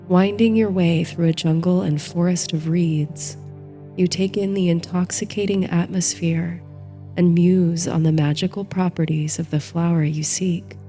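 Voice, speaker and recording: A woman narrating a guided bedtime meditation in a soothing, gentle, hushed manner, reading from a script. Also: fairly low pitch. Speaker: host and narrator of a sleep story series. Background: soft music.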